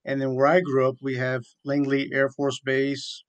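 Mid-pitched man telling his story in detail. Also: monotone, moderate pace. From southeastern Virginia, USA. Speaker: military chaplain, radio guest. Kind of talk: conversational storytelling in an interview.

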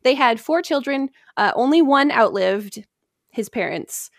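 A woman's voice: sits high, lively intonation, unhurried, no room sound, clean studio sound. A woman hosting a podcast, telling history from prepared notes in an informal, explanatory way with casual asides.

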